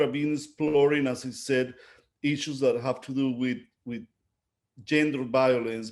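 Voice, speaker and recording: A man's voice: medium pitch, a little mellow. A middle-aged man from Venezuela with a Venezuelan Spanish accent, speaking reflectively as an artist in an interview and often searching for his words. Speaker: visual artist being interviewed. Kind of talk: unscripted interview talk, reflective.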